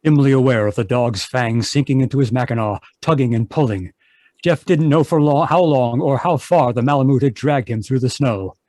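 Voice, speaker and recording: A man's voice: mid-range voice, moderate pace, darkish tone, no room sound. A man giving scripted narration in the style of an old-time radio adventure drama. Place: home, live online video performance.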